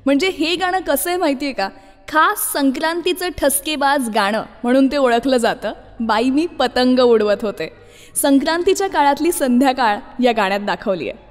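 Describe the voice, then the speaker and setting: A woman hosts a music radio show in a high voice, chatting to listeners like an expressive storyteller. Her speech carries a long reverb, with music playing underneath. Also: normal speed. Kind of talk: music radio presenting, chatty song introductions.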